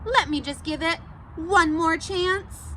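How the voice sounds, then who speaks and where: Lady doing a groovy upbeat boy cat for a kids storybook, high n bright, drawn out rhymes. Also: takes it slowly, a little hiss or hum, exaggerated voice, poor audio. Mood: upbeat, determined. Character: groovy, upbeat young male cartoon cat who loves dancing. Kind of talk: storybook read-aloud for children.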